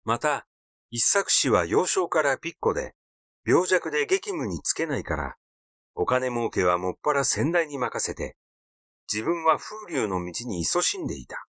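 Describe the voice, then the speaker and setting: A man reading literary fiction aloud as a narrator, in a measured storytelling delivery. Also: medium pitch, very animated, sharp tone, dry recording, clean studio sound. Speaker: audiobook narrator.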